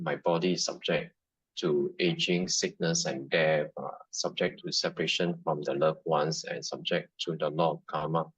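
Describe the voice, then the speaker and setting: A man's voice: low-pitched, breathy edge, no room sound. A man asking his teacher a question on a video call, hesitant with many fillers, on dull lo-fi call audio. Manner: halting with many fillers, soft agreeing murmurs. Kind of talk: online dharma Q&A.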